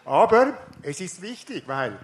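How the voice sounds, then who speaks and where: A man's voice: high-pitched, sing-song, unhurried. A man from Switzerland with a Swiss German accent, giving a rousing speech to a live audience and asking the crowd questions. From Switzerland, canton Schwyz. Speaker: activist group speaker.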